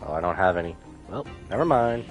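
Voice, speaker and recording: Male streamer: low voice with animated swings, casual commentary, game music behind. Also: a little fast. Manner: muttering to himself, questioning. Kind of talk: casual live gameplay commentary.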